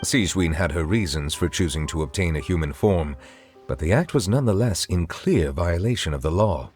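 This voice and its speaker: An actor playing a stately male chief judge who speaks in a formal, measured, courtly way. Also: low voice, animated, darkish tone, faint music behind.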